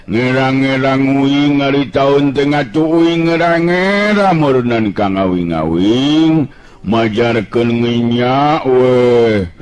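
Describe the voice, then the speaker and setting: A man voicing a good-natured, bashful, joking male sidekick in a storytelling, with nasal, garbled speech and a chuckling drawl. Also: mid-range voice. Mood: amused, teasing.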